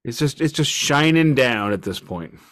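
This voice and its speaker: A man's voice: medium pitch, lively intonation, normal speed, fairly bright tone. Opinionated, rambling man chatting casually. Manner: opinionated, rambling with stammered repeats. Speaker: podcast co-host. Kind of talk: casual music chat.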